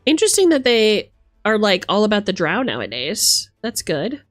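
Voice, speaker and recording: Female streamer, theatrical and animated, highly varied pitch, casual live chat and read-aloud, dry sound over music. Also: moderate pace, dry recording. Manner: animated, theatrical, reacting to chat as she reads. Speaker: streamer host. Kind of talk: casual livestream chat with reading aloud from a rulebook.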